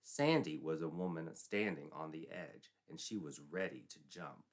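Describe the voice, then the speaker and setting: A man's voice: a bass voice, normal speed. A man narrating a scripted comic tale in a theatrical, arch, mock-dramatic manner. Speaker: storyteller, narrator.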